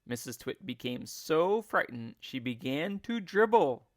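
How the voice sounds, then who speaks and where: A man reading a storybook aloud like a teacher, with expressive, deliberate and emphatic phrasing. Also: on the high side, dry recording, studio quality. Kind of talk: expressive read-along storybook narration.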